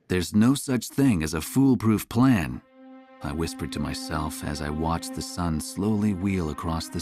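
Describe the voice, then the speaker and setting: An actor playing a reflective, world-weary war reporter who narrates a game's story in measured, literary phrases. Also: male voice, low-pitched. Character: male war correspondent, reflective and world-weary, recounting events. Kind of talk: scripted first-person game story narration. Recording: music behind the voice. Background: music.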